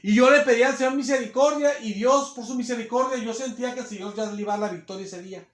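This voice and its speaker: High-voiced preacher, echoey church. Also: male voice, quick pace. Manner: loud, strong voice held back, rising to emphatic bursts. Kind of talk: sermon.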